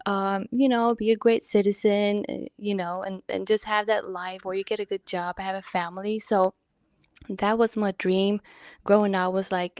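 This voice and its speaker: Flat-voiced woman from Denmark. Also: medium pitch, no echo, studio quality. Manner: earnest, quick laughs, many uhs. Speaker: podcast co-host guest. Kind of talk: interview storytelling.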